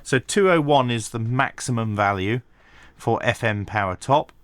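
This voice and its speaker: A man giving a hands-on tech tutorial, talking through each step methodically with pauses and dry asides. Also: mid-range voice, smooth. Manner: methodical, thinking aloud with frequent pauses. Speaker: radio reviewer.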